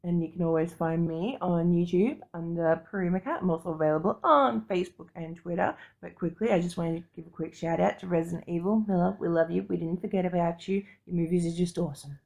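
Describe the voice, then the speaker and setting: A woman with a fairly low voice chats casually as a podcast co-host. She talks quickly, with plenty of vocal fry. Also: fairly even intonation, a little mellow.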